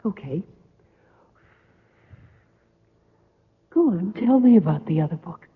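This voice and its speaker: A woman's voice: fairly low pitch, animated, brisk, phone-quality sound, natural voice. An actress playing a coy, vain, teasing female phantom muse in an old-time radio drama, musing and needling with questions. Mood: eager, coy. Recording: reverberant, thin old radio broadcast.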